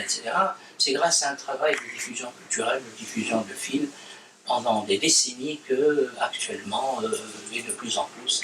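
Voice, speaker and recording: Man, interviewee, measured and hesitant, distant and echoey recording with background noise. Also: mid-range voice. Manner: deliberate, with many fillers and restarts. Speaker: interviewee explaining language. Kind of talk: measured explanation.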